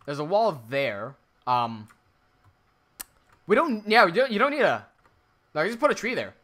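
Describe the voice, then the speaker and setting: A man's voice: high voice, big pitch swings, unhurried, fairly bright tone. A young man from the Philippines with an Americanized Filipino accent, chatting casually and rambling while building on a livestream. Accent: American-sounding Filipino. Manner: laid-back, rambling, swears, laughs often. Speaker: streamer and builder.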